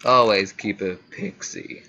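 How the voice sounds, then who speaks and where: A man with a fairly low voice hosts a gaming video in a casual, off-the-cuff way. He rambles and reacts to himself as he plays. The home recording has clear background noise. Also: fairly even intonation, unhurried, sharp tone. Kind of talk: casual gameplay commentary.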